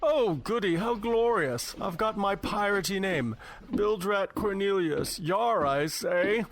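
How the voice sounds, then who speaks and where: A naive, prissy male tourist, voiced by a man gushes in a high, fussy voice. He is giddy and easily delighted, in an amateur audio drama with slight noise. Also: takes it slowly. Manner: effusive, fussy, hesitant.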